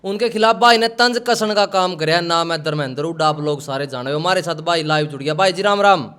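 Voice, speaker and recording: Clear-voiced Haryanvi man interviewing, slightly high. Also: brisk, fairly bright tone. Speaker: media interviewer. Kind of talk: live call interview in colloquial Hindi.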